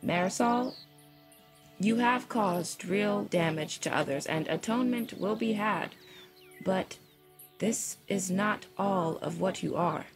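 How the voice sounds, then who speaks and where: Serene, probing female stranger for fiction podcast. Gravelly edge, solemn formal delivery, music underneath. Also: medium pitch. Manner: measured, formal, solemn, answers with questions. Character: serene, probing, all-knowing female stranger, kind but unyielding. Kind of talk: audio fiction drama acting.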